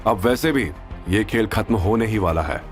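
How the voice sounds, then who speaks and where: A man voicing a calm, decisive young businessman who speaks firmly and briefly. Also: lowish voice, moderate pace, soft background music, close to an everyday voice. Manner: firm, brief.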